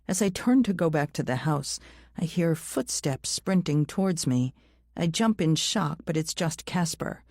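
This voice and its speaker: Female audiobook narrator for a first-person thriller, deep-registered and soft-edged in tone, very dry recording. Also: animated, a little slow, dry recording.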